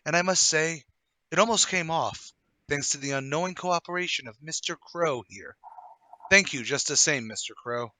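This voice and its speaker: Man acting a haughty, bright-voiced gentleman murderer. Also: a little high, moderate pace, slight background noise. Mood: coolly boastful. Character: smug gentleman murderer, flustered then coolly confessing. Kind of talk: radio play table read.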